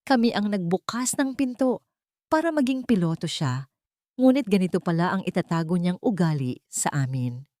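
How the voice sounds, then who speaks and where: A woman's voice: lowish voice, animated, normal speed, dark tone, no echo, studio quality, lightly acted. A woman voicing a harsh, condescending older rich matriarch in a drama story. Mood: scornful.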